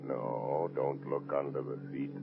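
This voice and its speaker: A man playing an invisible, taunting avenger in a vintage radio thriller, menacing his victim with deliberate words on a scratchy old recording. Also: very deep voice, little pitch movement, gravelly, narrow, tinny audio, over music, heavily acted. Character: invisible menacing male avenger haunting a driver. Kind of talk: 1930s radio thriller acting.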